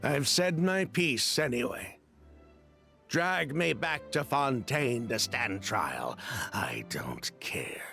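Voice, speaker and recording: An actor playing a bitter, defiant schemer in a game, talking slowly. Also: male voice, mid-range voice, very animated, bright, forceful tone, faint music behind, exaggerated voice. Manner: defiant, slow and gravelly. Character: bitter remorseless schemer, middle-aged man.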